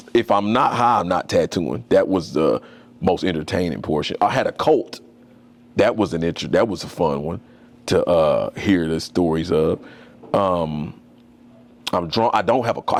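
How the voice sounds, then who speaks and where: A young man, a tattoo artist, talking as a podcast guest in a loose, slangy, storytelling way. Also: low-pitched. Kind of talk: casual conversation.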